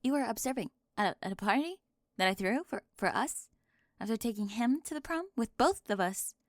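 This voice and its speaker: A woman playing a talkative, bossy high school girl who gets easily flustered, in an ASMR roleplay with halting speech and breathy drops toward a whisper. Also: lively intonation, dry recording, clean studio sound, close to an everyday voice. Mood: exasperated, animated.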